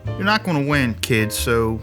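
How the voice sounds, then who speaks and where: A man playing a gruff, weary sergeant and bodyguard in an audio drama, dry and laconic. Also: medium pitch, very animated, unhurried, over music. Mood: dry teasing. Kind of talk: scripted audio drama acting.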